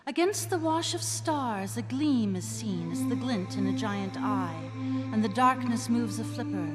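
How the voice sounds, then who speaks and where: Loud music plays behind a woman playing a wry fantasy narrator in a live radio play. She is fairly high and very animated, telling the tale from a stage in an echoey hall. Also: unhurried. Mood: grand, evocative. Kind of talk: dramatic scripted narration, live radio theatre. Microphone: stage microphone.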